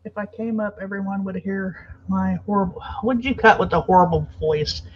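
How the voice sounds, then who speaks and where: A woman's voice: medium pitch, normal speed, slight background noise. A woman hosting a casual live chat, laid-back and laughing often. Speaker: stream host. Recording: dull lo-fi mic.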